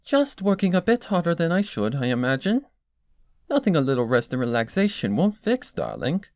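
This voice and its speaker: A woman's voice: low voice, wildly swooping pitch, normal speed, darkish tone, dry recording, clean studio sound, pitched above the performer's own voice, full character voice. A woman voicing a refined, dramatic female pony dressmaker in a theatrical, genteel, affectionate manner for a story reading. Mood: weary, dismissive.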